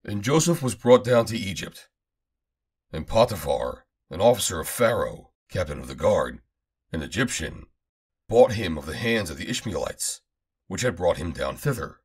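Deep, husky man reading scripture. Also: very animated, normal speed, darkish tone. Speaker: Bible reader. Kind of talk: scripted scripture reading, solemn archaic narration.

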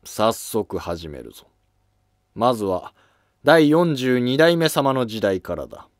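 A man's voice: mid-range voice, very animated, slow, dry recording, studio quality. A man playing a stern, dutiful senior court historian in a scripted historical drama. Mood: stern, commanding.

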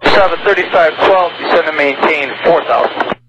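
A man working as an air traffic approach controller, giving brisk, clipped instructions with fillers over a noisy, muffled radio transmission. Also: on the high side.

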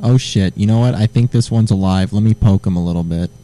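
A man making a prank phone call, deadpan and provocative, drawing the agent along. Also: lowish voice, a little fast, background noise. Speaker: prank caller.

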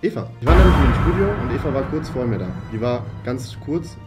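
A man's voice: mid-range voice, lively intonation, quick pace, loud music behind the voice. A young man playing a suspect, giving improvised answers in a role-play game. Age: young adult. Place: indoor studio room at a table.

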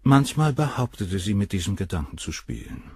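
Radio crime drama: a condescending doctor, very low and husky, lively intonation, dry recording. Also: male voice, a little fast, dry recording. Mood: cold. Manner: dismissive, clinical, condescending. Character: cold cynical male doctor, middle-aged suspect. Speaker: doctor questioned by the inspector. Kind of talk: radio play acting.

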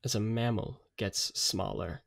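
A man's voice: on the low side, a little slow, creaky, a bit bright. A young man co-hosting a podcast, chatting casually and debating playfully. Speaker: podcast co-host.

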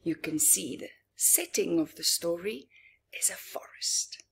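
A middle-aged woman reading a story aloud to young children, expressively, with dramatic pauses and chatty asides. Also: fairly low pitch, animated, gravelly, in a whisper. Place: living room, indoors.

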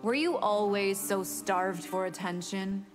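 A woman's voice: medium pitch, measured pace, close to an everyday voice. A woman playing a haughty, seductive vampire warrior, teasing her opponent in a fighting video game. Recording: faint game music behind.

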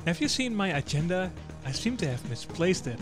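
A man's voice: high-pitched, sing-song, a little fast. A man reading out short viewer questions in a scripted style for a question-and-answer show. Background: music under the voice.

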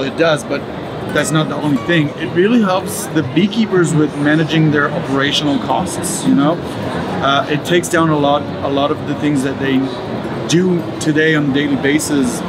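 A man's voice: on the high side, rough recording. Man explaining at a trade show booth. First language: Hebrew. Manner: explanatory, many fillers and restarts. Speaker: startup executive.

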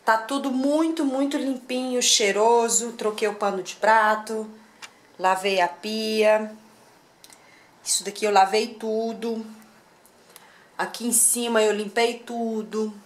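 A woman's voice: on the high side, fairly even intonation, slow. A woman in her thirties with a Brazilian Portuguese accent, chatting to viewers in a casual home vlog while she cleans her kitchen, recorded on a handheld camera. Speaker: vlogger. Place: home kitchen, indoors, medium-sized. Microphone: handheld camera, moved around the room.

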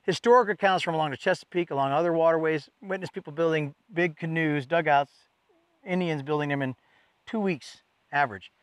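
A man teaches outdoors in a forest, folksy and enthusiastic as he explains. His voice sits a little high for a man, and the sound is close and dry, with no room around it. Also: brisk, smooth, no echo.

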